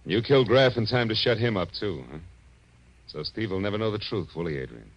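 A man's voice: lowish voice, fairly quick. A man playing a tough, wry, world-weary private detective in clipped 1940s radio style. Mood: weary, resigned. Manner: hardboiled, wry, clipped wisecracking. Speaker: lead actor and narrator. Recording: muffled old radio recording.

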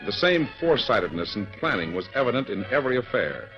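A man's voice: mid-range voice, big pitch swings, fast talker. A man narrating an old-time radio drama in a grand, theatrical, declamatory style. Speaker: announcer and narrator. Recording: dull vintage radio recording with music.

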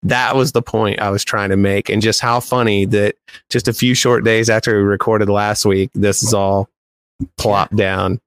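Male podcast co-host, lower register, dry recording, casual but emphatic storyteller. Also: little pitch movement, moderate pace, dry recording.

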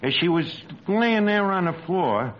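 An actor playing a brash, wisecracking New York tavern manager with ungrammatical, mock-refined speech, in 1940s radio comedy style. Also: male voice, high voice, sing-song, a little slow. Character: brash, malapropping tavern manager with pretensions to class. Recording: noisy, muffled old radio broadcast recording.

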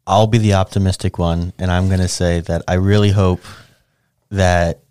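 A man's voice: sits low, flat delivery, normal speed, darkish tone, clean tone, no echo. A man co-hosting a podcast in casual, conversational talk about films. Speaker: podcast co-host. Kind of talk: casual film discussion chat.

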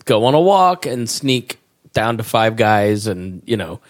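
A man's voice: lowish voice, very animated, a little slow. A man hosting a podcast in casual chat, telling self-mocking stories while getting over a cold. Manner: joking, self-mocking storyteller. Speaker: podcast host. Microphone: studio mic.

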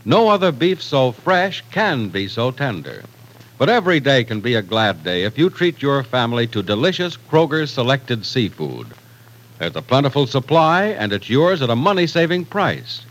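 A man's voice: medium pitch, very animated, normal speed, a bit bright, poor audio. A man announcing and narrating from a script in a polished, emphatic 1940s radio style. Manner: polished, emphatic sales delivery. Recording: vintage radio broadcast recording.

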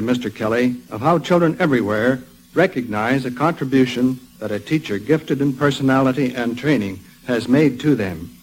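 A man, a professor, giving a formal, measured prepared address on an old radio show. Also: mid-range voice, poor audio. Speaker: professor, guest speaker.

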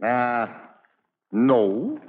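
Pompous, swooping theatrical actor-manager. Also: male voice, mid-range voice, wildly swooping pitch, exaggerated voice. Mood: resigned. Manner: florid, theatrical, drawn-out delivery. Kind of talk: old-time radio drama acting. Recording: echoey, tinny, hissy old radio broadcast.

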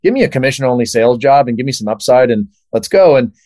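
A man's voice: mid-range voice, expressive, fast talker, warm tone, no echo, clean studio sound. A man in his thirties from Southern California, answering interview questions eagerly with many fillers. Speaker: guest, startup founder.

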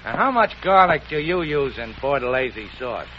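An actor playing a brash, impatient gangster boss who barks orders, in 1940s radio acting. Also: male voice, medium pitch, animated, normal speed, lightly acted. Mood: bossy. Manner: gruff, impatient, barking orders. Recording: dull old radio recording with hiss.

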